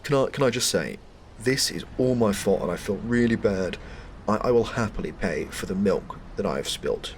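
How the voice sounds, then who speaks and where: A man acting an everyday character in a comedic roleplay, hesitant, apologetic and polite, with a gravelly, husky voice. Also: fairly low pitch, lively intonation, normal speed, a little hiss or hum. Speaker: roleplay actor playing an everyday shopper. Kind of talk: acted comedic POV roleplay.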